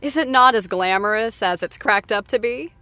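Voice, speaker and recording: A woman's voice: a little high, normal speed, sharp tone, no echo. A woman hosting a playful after-show interview, effusive and teasing with her guests.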